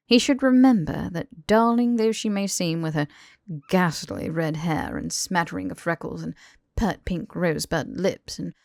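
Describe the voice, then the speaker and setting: A woman's voice: lowish voice, gravelly, a little mellow, no echo, clean studio sound. A woman voicing a smug, posh young man who lectures his lover in long, pompous sentences for an audiobook. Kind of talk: audiobook character dialogue.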